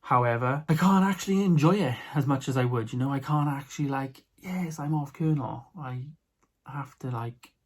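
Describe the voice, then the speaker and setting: A young British man who sounds stuffed up from hay fever, chatting casually to camera at home as a vlogger, rambling with lots of fillers. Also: moderate pace. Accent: British English. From United Kingdom. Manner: rambling, filler-heavy, low-key. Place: at home, indoors.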